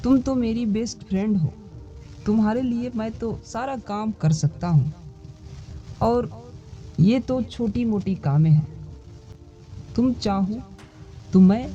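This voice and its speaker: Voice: medium pitch, mellow, natural voice. A narrator voicing a kind, helpful young girl in a children's cartoon story, with plain storybook dialogue. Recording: dull lo-fi sound, music behind.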